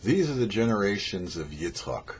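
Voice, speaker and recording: A man gives a religious teaching in a husky, mid-pitched voice that moves up and down a great deal. He is didactic, setting up questions and explaining them. The recording is slightly dull and lo-fi. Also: big pitch swings, normal speed. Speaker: rabbi, show host. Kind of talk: religious teaching, prepared commentary.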